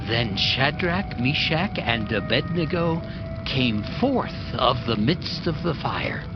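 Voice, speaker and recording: Slow, solemn male scripture narrator. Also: medium pitch, lively intonation. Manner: measured, deliberate phrasing. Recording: dull band-limited audio under loud music. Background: loud dramatic music.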